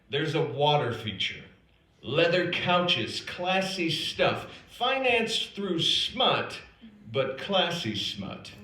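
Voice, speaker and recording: An American man performs his own comic true story in an echoing live room. He takes his time, with dramatic pauses and lively swings in pitch. His delivery is wry and self-deprecating. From United States, South Dakota. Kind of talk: scripted comic personal narrative performed live. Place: indoor performance space at an acting institute. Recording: roomy, echoing live stage recording.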